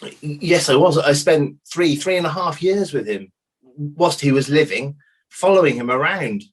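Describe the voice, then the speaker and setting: A man playing a candid, doubting disciple testifying as a witness in a dramatized inquest, husky, emphatic but halting as he starts. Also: fairly high pitch.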